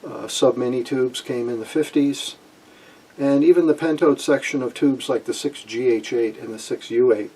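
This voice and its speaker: A man in his sixties gives an informal technical lesson. His voice is creaky and rough-edged, and he pauses often as he goes. He is recorded at a slight distance from the microphone in a small room at home. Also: mid-range voice, darkish tone. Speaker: presenter of a vintage radio electronics channel.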